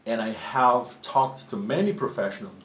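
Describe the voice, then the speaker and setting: A man whose first language is Portuguese, talking about drumming as a teacher in a relaxed, conversational way with frequent restarts. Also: mid-range voice, moderate pace. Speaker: drum teacher. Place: drum studio. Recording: echoey room with faint ambient noise.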